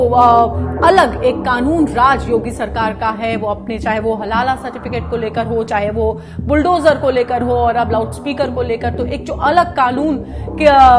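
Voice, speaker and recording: Woman, higher-pitched voice, news presenter, explanatory delivery, emphatic and repetitive, music playing behind. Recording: music bed under the voice. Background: background music.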